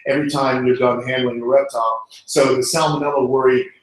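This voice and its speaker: A man's voice: medium pitch, level tone, a little fast. A strongly reverberant indoor room surrounds an American man with a mid-range voice. He hosts an animal live stream, talking in a loose, informal, chatty style as he answers questions. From Long Island, New York, United States. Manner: informal, chatty, calls people man and bud. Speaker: animal channel host.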